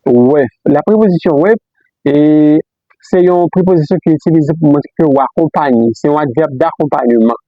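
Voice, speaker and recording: Man teaching slowly, lo-fi recording. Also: a little high, dry recording. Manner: repeats example sentences slowly, switches between Creole, French and English; frequent "ok?" and "let's go, guys" prompts. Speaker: English teacher. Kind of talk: beginner language lesson. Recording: dull lo-fi dry recording.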